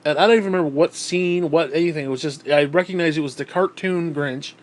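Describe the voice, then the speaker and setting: A man's voice: fairly high pitch, quick pace. A man with a stuffed-up, nasal voice, talking in a bitter, profane, rambling monologue into a tablet. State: stuffed-up nose. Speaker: vlogger.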